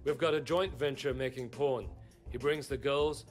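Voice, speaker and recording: An actor playing a brash, jovial showbiz gangster boss in a video game, breezy and commanding. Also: male voice, mid-range voice, steady tone, normal speed, faint music behind, lightly acted. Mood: businesslike, cold. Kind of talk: video game cutscene acting.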